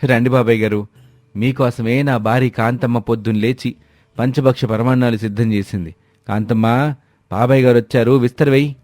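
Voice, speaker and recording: A man's voice: medium pitch, fairly even intonation, moderate pace, a little mellow, lightly acted. A man playing an earnest village husband who is respectful to elders and admonishing toward his wife in a moral story. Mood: eager, anxious. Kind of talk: dramatised Telugu moral story acting.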